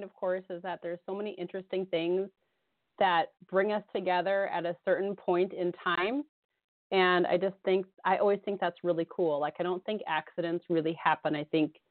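A woman co-hosting a radio talk show, hoarse with a cold, chatting casually and rambling, often laughing. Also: fairly low pitch, dry recording. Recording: tinny phone-line sound.

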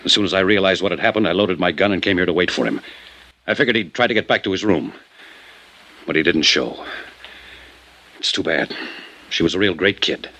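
A bitter, grieving American man in a 1950s radio play. His very deep voice has a hard, forceful edge, and he is blunt and brooding. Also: animated, fairly quick, long pauses, full character voice. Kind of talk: 1950s radio drama acting. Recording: 1950s radio drama with music behind.

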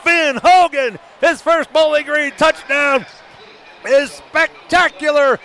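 A man giving live radio play-by-play of a football game, excitable and quick to raise his voice for big plays. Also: extremely high pitch. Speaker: play-by-play announcer. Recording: noisy live stadium broadcast ambience.

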